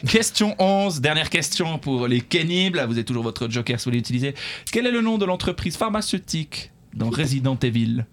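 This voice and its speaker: A man's voice: fairly high pitch, lively intonation, fairly quick, hardly any pauses. A man hosting a live quiz game, reading out questions and bantering playfully with the contestants. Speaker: quiz game show host.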